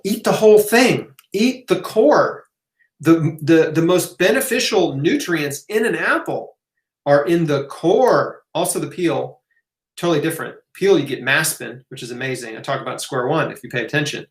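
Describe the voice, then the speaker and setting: A man's voice: fairly high pitch, animated, normal speed. A man hosting a casual live Q&A, answering questions off the cuff in a conversational way with emphatic asides. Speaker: host of a live health Q&A. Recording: roomy, echoing room sound.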